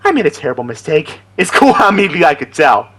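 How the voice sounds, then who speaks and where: A man voicing an earnest, awkward young robot with lively pitch. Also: fast.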